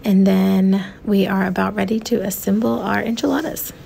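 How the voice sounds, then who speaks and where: An American woman in her thirties with heavy vocal fry narrates recipe steps. Her delivery is casual and instructional, at a medium pitch, with faint noise behind it. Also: fairly even intonation, moderate pace, mellow. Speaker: home cook narrating a recipe video.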